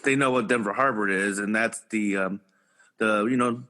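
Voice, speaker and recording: Bright-voiced farmer guest. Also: male voice, lowish voice, moderate pace. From Houston, Texas. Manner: easygoing storyteller, some fillers. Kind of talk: casual interview chat.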